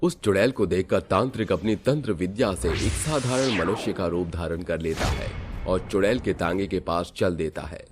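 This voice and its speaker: A man narrating a cartoon horror story in steady storybook style. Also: sits low, normal speed, few pauses, over music.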